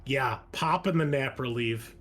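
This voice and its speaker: A man's voice: medium pitch, measured pace, a bit bright, faint music behind. A man chatting casually as a player in a tabletop roleplaying game.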